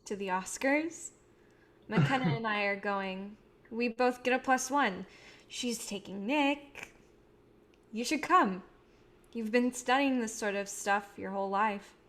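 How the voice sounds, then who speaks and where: A woman's voice: a little high, takes it slowly, a bit bright. A woman in a staged reading, playing a friendly young film director who talks casually and directly. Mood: encouraging.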